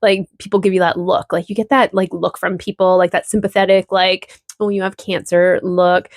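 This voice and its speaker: Woman, casual vlog update, candid and chatty, noticeable vocal fry, dry close sound. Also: fairly low pitch, little pitch movement, moderate pace, dry recording. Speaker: vlogger. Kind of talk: casual personal update to camera.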